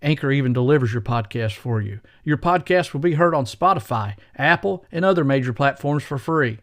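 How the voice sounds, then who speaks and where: A man's voice: mid-range voice, expressive, a little fast, a bit bright, no echo, studio quality. A man hosting a podcast, reading scripted introductions and promotional copy. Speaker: podcast host. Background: faint music.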